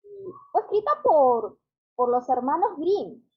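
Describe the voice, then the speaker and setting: A woman's voice: sits high, expressive, unhurried. A woman host chatting casually with her co-host in a muffled, lo-fi recording. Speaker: show host. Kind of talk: casual co-hosted chat. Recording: dull, lo-fi audio.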